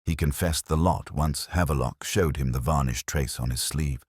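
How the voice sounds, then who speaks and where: A man's voice: extremely low pitch, normal speed, breathy edge, dry recording, clean studio sound. A man voicing an eager, deferential police sergeant in a detective story, keen and plain-spoken with his superior. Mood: brisk, satisfied.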